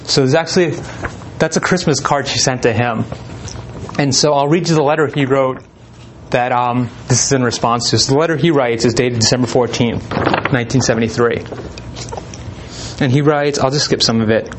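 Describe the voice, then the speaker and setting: A man giving an informal talk, reading letters aloud in a relaxed way with frequent pauses and ums. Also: mid-range voice, slight background noise, rough recording. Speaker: presenter.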